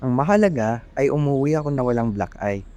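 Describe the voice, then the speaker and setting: A man with a Filipino accent playing a gentle, polite young boyfriend in an amateur radio drama. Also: mid-range voice, lively intonation, a little fast, natural voice. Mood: tender.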